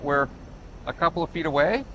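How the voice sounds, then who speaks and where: A man's voice: a little high, lively intonation, brisk. A man from Chile giving a casual, emphatic property tour as the developer, often repeating and restarting his phrases. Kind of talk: casual walk-through sales pitch. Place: walking outdoors on a city street and at a building site. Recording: outdoor street noise with traffic.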